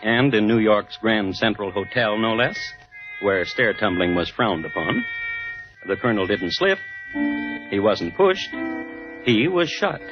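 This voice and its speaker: An actor playing a droll, morbid gentleman crime connoisseur, narrating with formal deadpan wit in a 1950s radio style. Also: fairly low pitch. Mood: wry, ominous. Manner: deliberate, deadpan, wry, formal. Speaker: host. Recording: muffled 1950s radio broadcast with music.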